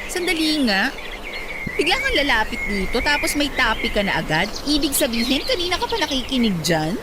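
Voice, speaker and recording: A woman's voice: high-pitched, sing-song, heavy background noise. A woman with a Filipino accent playing a feisty, sarcastic young woman in a radio drama. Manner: sassy, quick to retort. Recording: loud music behind the voice.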